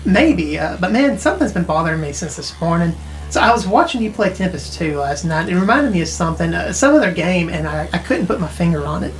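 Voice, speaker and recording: A woman's voice: on the low side, sing-song, a little fast, poor audio. An actress playing a tech-savvy woman who explains things informally and hedges as she goes, in naturalistic audio drama dialogue. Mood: explanatory, intrigued. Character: tech-savvy, casual woman who knows retro arcade games. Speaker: character in a mystery audio drama.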